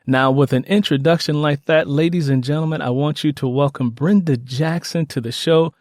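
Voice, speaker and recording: A man's voice: on the high side, fairly even intonation, normal speed, a little mellow, no echo, clean studio sound. A man hosting a podcast interview in an effusive way, often repeating his phrases. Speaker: podcast host.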